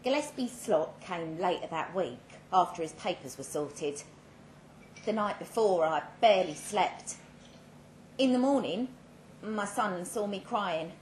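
A woman giving a measured, dramatic reading of a first-person short story at a live event, with pointed pauses. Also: lowish voice, lively intonation, a little mellow. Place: indoor live literary event. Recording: roomy live venue, clear background noise.

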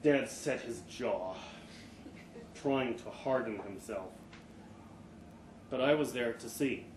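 A man reading a short story aloud at a live event, in an expressive, dramatic storytelling style. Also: mid-range voice, takes it slowly. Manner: expressive, acts out dialogue. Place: live literary event in an indoor venue. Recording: echoey room, live event, background noise.

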